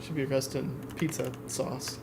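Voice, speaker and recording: A man's voice: medium pitch, little pitch movement, unhurried, a little mellow. A man co-hosting a podcast, chatting and joking casually with friends. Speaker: podcast co-host. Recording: clear background noise.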